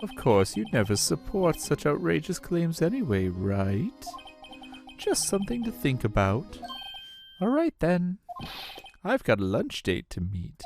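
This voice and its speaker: A swaggering police chief who hands out veiled threats with smug ease, as a man puts him on. His voice is slow and sits low. It rises and falls widely as he talks. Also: wildly swooping pitch, a little mellow, faint music behind, full character voice. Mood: menacing. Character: smug, domineering middle-aged police chief. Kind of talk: fan voice acting of a game.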